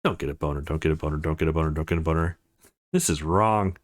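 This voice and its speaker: A man's voice: lowish voice, sing-song, brisk. A man voicing an awkward, nervous young male protagonist of a visual novel, as if muttering his inner thoughts. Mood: flustered.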